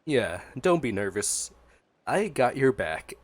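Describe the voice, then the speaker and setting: A man's voice: medium pitch, big pitch swings, slow. A man giving casual, slangy, chatty reaction commentary as he plays a video game. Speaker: gaming streamer. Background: faint game music.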